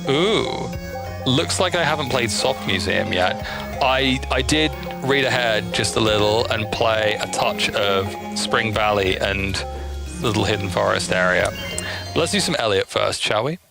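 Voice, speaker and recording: A man's voice: lowish voice, measured pace, vocal fry, music in the background. A man giving casual, chatty gameplay commentary, explaining things as he goes in an enthusiast's asides. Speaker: gameplay streamer.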